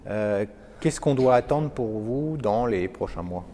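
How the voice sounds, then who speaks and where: Low mellow male interviewer. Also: expressive, unhurried. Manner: hesitant questions with fillers. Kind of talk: business interview questions.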